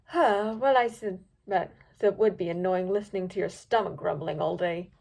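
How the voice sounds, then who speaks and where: A haughty, imperious pony sorceress, clipped and exasperated, her voice pitched lower than the rest of the storybook cast. A woman acts her out in a reading. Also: normal speed, gravelly, a little mellow. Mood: stern.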